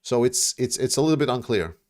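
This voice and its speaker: A man's voice: mid-range voice, lively intonation, fairly quick, a little mellow. Casual man on livestream. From Latvia. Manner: rambling, with many fillers and restarts. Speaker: livestream host. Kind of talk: casual livestream chat answering viewer questions.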